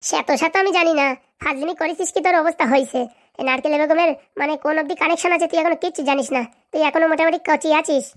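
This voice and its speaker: A woman's voice: sits high, steady tone, very fast, close to an everyday voice. A woman voicing a scheming, threatening village boss woman in a comedy cartoon, with a scolding manner. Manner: bossy, menacing, scolding.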